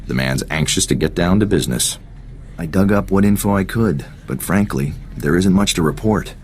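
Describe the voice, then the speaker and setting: A man's voice: low-pitched, lively intonation, normal speed, a little mellow, slight background noise. An actor playing a worldly, laid-back male intelligence agent in a video game, talking in a casual, frank way. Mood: frank, resigned. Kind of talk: scripted video game cutscene acting.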